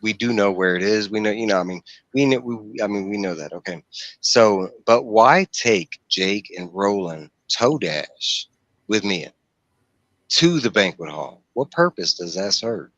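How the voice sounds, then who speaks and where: A man's voice: on the low side, animated, a little slow, a bit bright, no echo, highs cut off. A middle-aged man hosting a casual book discussion on a livestream, rambling and rephrasing as he goes. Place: indoor room, video call.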